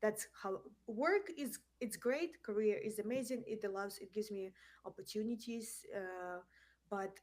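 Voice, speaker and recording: A woman's voice: medium pitch, a little slow, darkish tone. A woman from Ukraine, not a native English speaker, answering interview questions in a hesitant way with many fillers. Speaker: guest.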